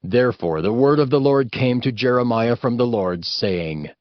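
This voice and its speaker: Solemn, expressive male scripture narrator. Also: medium pitch, normal speed, no echo. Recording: dry, dull audio with music behind.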